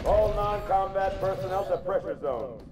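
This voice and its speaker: An actor playing a commanding military announcer on a besieged space station, giving clipped orders over a public address system. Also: male voice, sits high, animated, normal speed, a little mellow, a little hiss or hum. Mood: urgent command. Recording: echoey, distant, music behind.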